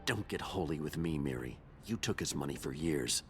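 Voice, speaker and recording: This is a resentful, conflicted game character, a turncoat friend. His emphatic voice swings widely in pitch, with faint music behind it. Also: lively intonation, moderate pace. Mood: bitter, defensive. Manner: bitter, accusing, emphatic. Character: conflicted, resentful man who betrayed his old friend. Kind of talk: video game voice acting.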